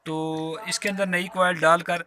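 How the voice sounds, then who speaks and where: Bright-voiced Pakistani man giving a tutorial. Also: high voice, flat delivery, normal speed. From Azad Kashmir, Pakistan. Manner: polite, repetitive step-by-step explaining. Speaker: repair tutorial host. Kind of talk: informal hands-on tutorial narration. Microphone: handheld phone while working.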